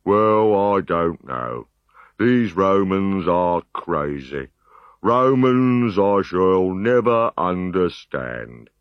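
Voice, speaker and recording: A man voicing a big, good-natured Gaul who talks slowly and ponderously. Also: sits low, sing-song, no echo, highs cut off, heavily acted. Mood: baffled. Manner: slow, ponderous, pleading.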